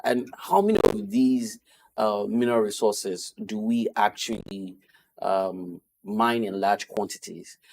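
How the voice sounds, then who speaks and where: A man's voice: lowish voice, expressive, measured pace, creaky, darkish tone, slight background noise, low-quality recording. A man co-hosting a studio talk show, questioning the guest in a conversational tone. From Nigeria. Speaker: co-host.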